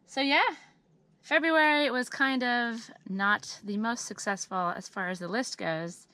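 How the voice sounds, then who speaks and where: A woman vlogger talks through her monthly plans in a casual, upbeat way, with plenty of ums along the way. Her voice is bright and forward but a bit scratchy from hoarseness. The sound is close and dry, with no room echo. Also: on the high side, measured pace. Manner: chatty, upbeat, with frequent fillers. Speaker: homesteading vlogger. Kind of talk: casual monthly update vlog.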